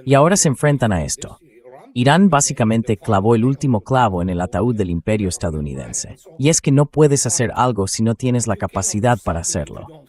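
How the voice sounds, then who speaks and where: A synthetic male voice with a fairly low pitch, dubbed over an interview. He speaks as a guest commentator, blunt and dismissive, with a sardonic edge to his political and military analysis. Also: animated, fairly quick, darkish tone. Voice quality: synthetic AI dubbing voice.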